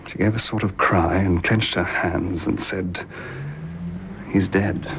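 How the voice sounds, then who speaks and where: An actor playing a shaken, restrained young gentleman in a period radio drama. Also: low voice, fairly even intonation, slow, noisy background. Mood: shaken, grieving.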